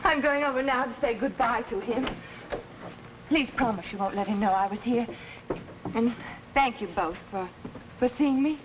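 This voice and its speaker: An actress playing an ambitious young pianist who is outwardly demure and secretly scheming, in 1950s television drama style. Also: female voice, on the high side, normal speed, natural voice. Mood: troubled, polite. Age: young adult. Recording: noisy, aged 1950s television soundtrack.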